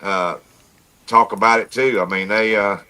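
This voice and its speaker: A man's voice: on the low side, steady tone, measured pace, bright, forceful tone, smooth. A plainspoken man with a folksy manner, telling stories casually in a livestream chat and repeating himself as he goes. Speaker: amateur radio operator, livestream guest.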